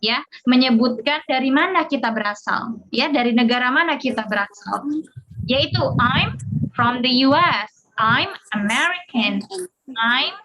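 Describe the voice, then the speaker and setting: A woman's voice: sits high, lively intonation, measured pace. A woman with an Indonesian accent teaching English grammar informally, explaining and repeating example sentences. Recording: dull audio with clear background noise.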